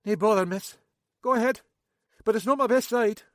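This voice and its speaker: A man voicing a jittery, battered young man who talks fast and rambles anxiously, with a Scottish accent. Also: high-pitched, dry recording, clean studio sound, voice pushed up, lightly acted. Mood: nervous. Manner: excitable, rambling, self-pitying.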